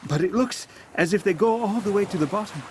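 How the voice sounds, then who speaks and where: A man's voice: high voice, fast, slight background noise, close to an everyday voice. A man playing a naive, earnest young Italian peasant boy in an audio drama. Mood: curious, eager.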